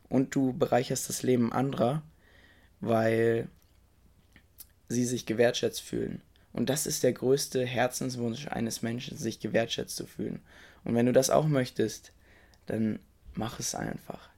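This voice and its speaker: A young man hosting a podcast, slightly hoarse from a cold, recommending a book in a casual, enthusiastic way and talking straight to the listener. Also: medium pitch, warm tone. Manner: enthusiastic, informal, addresses the listener directly. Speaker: podcast host.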